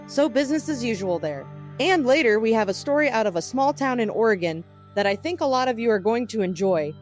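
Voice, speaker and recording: Woman acting morning news anchor, higher-pitched, cheerful, composed, chatty, broadcast delivery, music bed. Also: close to an everyday voice. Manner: polished, chatty, lightly wry.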